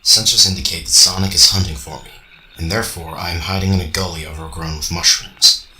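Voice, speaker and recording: Man as introspective male robot, very low pitch, piercingly bright edge, formal, measured, echoey. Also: steady tone, faint music behind. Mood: brooding. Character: brooding, logical young male robot, puzzled by feelings. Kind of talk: amateur radio drama monologue. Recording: echoey, faintly noisy home recording.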